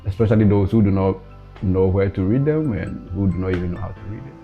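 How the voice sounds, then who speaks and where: A male interviewer with a low, mellow voice asks long questions, often rephrasing them as he goes. The recording sounds dull and lo-fi, with faint guitar music in the background. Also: fast talker. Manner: encouraging, with frequent short backchannel responses.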